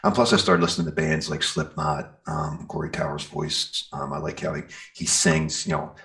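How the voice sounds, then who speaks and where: A man's voice: a bass voice, steady tone, moderate pace, creaky, fairly bright tone. A middle-aged man chatting casually as an interviewed musician, rambling and enthusiastic in an informal way. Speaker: metal singer and guitarist being interviewed.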